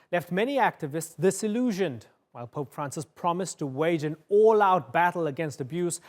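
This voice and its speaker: A man hosting a news programme, interviewing a guest and reading scripted introductions in a crisp anchor manner. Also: fairly high pitch. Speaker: news show host.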